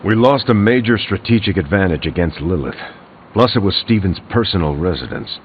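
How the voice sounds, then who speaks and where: Stoic warrior, low voice, firm, practical, game dialogue, slightly muffled. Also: male voice, expressive, fairly quick, a little hiss or hum. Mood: serious. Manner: firm, matter-of-fact. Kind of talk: video game voice acting. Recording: dull band-limited game audio with music.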